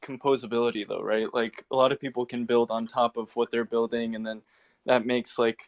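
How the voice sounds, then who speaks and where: A man's voice: mid-range voice, flat delivery, brisk. A man taking part in a casual live audio discussion, tentative and saying "like" often. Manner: tentative, peppered with "like". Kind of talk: casual chat.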